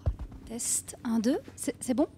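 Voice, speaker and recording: An actress playing a brisk, encouraging facilitator of a support group in a radio drama. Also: female voice, fairly high pitch, warm tone, a little hiss or hum. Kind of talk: naturalistic radio drama acting.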